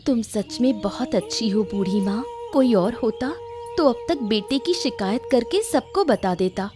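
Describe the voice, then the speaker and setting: A woman voicing a concerned, sympathetic neighbour who gently chides in an acted story. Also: a little high, animated, unhurried, over music. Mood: sympathetic, frank. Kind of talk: Hindi audio story acting.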